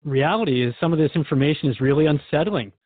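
A man's voice: a little high, fast, no echo, narrow, tinny audio. A man hosting a conversational radio interview, speaking informally and reflectively, with some hesitancy as he thinks aloud. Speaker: radio show host and interviewer.

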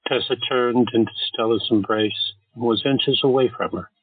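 Husky male narrator, tinny sound. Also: medium pitch, dry recording. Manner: measured, storytelling delivery. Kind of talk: scripted audio drama narration. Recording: tinny phone-like sound with faint music.

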